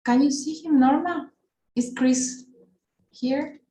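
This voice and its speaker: A woman moderating an online class session, calmly checking the connection and explaining where the talk left off. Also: high-pitched, takes it slowly, roomy sound, poor audio. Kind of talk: video call moderation. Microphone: video call.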